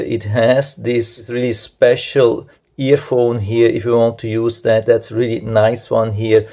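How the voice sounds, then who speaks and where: Creaky, even-toned man reviewing a product. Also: mid-range voice. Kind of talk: unscripted hands-on product demonstration.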